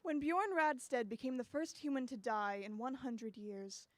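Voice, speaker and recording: A woman's voice: fairly high pitch, normal speed. A woman narrating scripted introductions as host of a radio drama anthology, addressing listeners like a theatrical storyteller.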